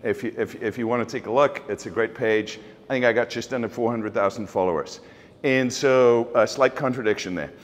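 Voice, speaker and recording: A man's voice: mid-range voice, brisk. A middle-aged man from South Africa with a South African accent, giving a casual keynote talk with certainty and lots of fillers. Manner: certain, blunt, many fillers and restarts. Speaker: keynote speaker. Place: large open office event space. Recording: long reverb in open room.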